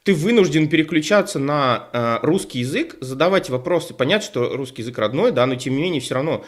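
Rambling man chatting on a panel. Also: on the high side. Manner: rambling, many fillers and restarts. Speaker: co-host of an online show. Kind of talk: casual panel discussion.

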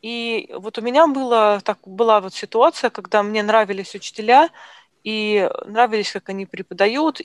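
A woman's voice: medium pitch, dry recording. Hesitant woman host, dry close sound. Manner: hesitant, many fillers. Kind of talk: conversational discussion.

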